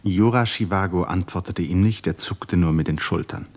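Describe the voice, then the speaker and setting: Very low-voiced male radio play narrator. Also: normal speed.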